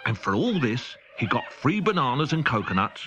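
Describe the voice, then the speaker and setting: A man's voice: medium pitch, very animated, normal speed, muffled recording, background noise. A man reading a children's audiobook aloud in a playful, comic manner. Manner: playful, comic, spirited delivery. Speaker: storyteller. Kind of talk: scripted children's audiobook narration.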